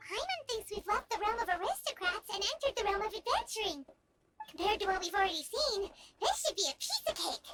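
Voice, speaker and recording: A tiny flying fairy girl for a video game, with an extremely high, squeaky childlike voice. She is whiny, excitable and dramatic, swinging widely in pitch, with loud game noise behind her. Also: brisk. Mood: cocky, chirpy. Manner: squeaky, dramatic, talks of self in third person.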